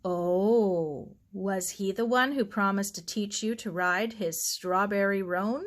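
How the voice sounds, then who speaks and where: A woman voicing a sensible middle-aged aunt, kindly but brisk, with a measured, gently chiding manner. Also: medium pitch, close to an everyday voice. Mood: teasing.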